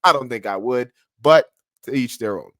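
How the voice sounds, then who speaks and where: A man's voice: mid-range voice, normal speed, a bit bright. A man with a congested nose giving casual, slangy reaction commentary as host of a video.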